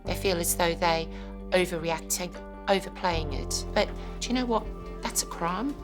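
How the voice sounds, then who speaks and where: South african woman, police call handler, earnest, lowish voice, music under it. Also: moderate pace. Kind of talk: documentary interview.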